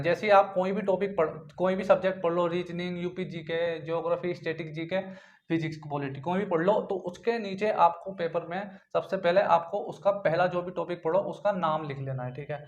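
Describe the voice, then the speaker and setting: A young man giving informal study instructions to viewers, repeating himself and often adding little check-in tags. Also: high voice. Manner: repetitive, frequently checks in with tag phrases. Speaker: exam-preparation tutor. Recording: dull lo-fi sound.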